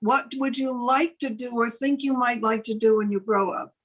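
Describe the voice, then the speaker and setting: A woman's voice: on the high side, steady tone, normal speed. An older woman speaking casually as the host of a talk show, interviewing a guest.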